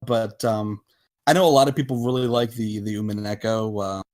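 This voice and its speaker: A man speaking casually as a podcast guest, with halting, hesitant phrasing as he explains things. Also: fairly low pitch, steady tone, darkish tone. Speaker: podcast guest, visual novel developer. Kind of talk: casual interview talk.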